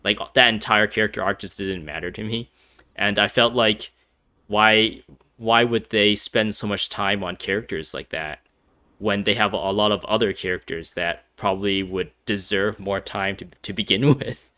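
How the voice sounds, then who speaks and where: A man's voice: on the low side, moderate pace, bright, forceful tone. A man giving casual, rambling commentary over a video game, with many fillers and frequent laughs. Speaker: gameplay streamer.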